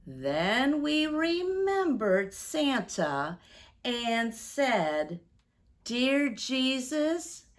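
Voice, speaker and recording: A woman reading a rhyming story aloud to young children in an expressive, deliberate storytime manner. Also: on the high side.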